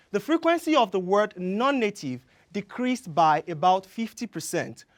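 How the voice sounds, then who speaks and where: A young Nigerian man whose first language is Yoruba, giving an earnest, rehearsed research pitch on a studio stage. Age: young adult. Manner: earnest, measured, emphatic. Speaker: graduate student presenter. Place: large indoor studio stage.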